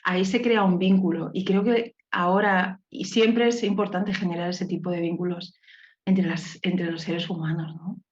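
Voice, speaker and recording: A woman's voice: fairly low pitch, fairly even intonation, moderate pace, darkish tone, lo-fi sound. A woman hosting a podcast interview who speaks to her guest in an affectionate, encouraging way. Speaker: podcast host. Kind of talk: conversational interview.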